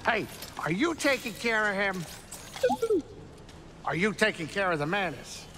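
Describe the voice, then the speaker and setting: A man playing a small, four-armed, hairy alien saloon owner in a video game, gruff but warm, with a blustery, fussing manner. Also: high voice, measured pace. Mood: hearty, fussing. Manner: animated, fussing, excitable, blustery. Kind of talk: video game cutscene acting. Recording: long hall reverb, faint background noise.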